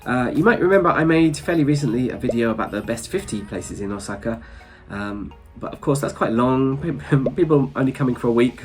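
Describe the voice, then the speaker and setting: A man with a blocked-up nose from hay fever, chatting casually and a little rambling to his viewers, with small chuckles. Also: mid-range voice. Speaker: travel vlogger. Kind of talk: casual chat to camera.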